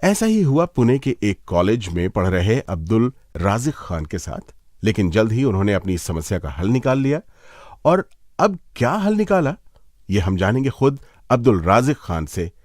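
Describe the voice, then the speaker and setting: A man's voice: on the low side, sing-song, moderate pace, a little mellow, dry recording, clean studio sound. A man presenting a radio magazine show in a playful, conversational way, addressing listeners directly.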